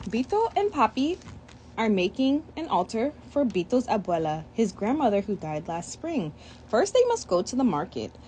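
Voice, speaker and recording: Brief: young woman, children's storybook read-aloud, bright and bouncy pitch, faint room hum. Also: moderate pace. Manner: reads with lively asides and commentary. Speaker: storybook reader.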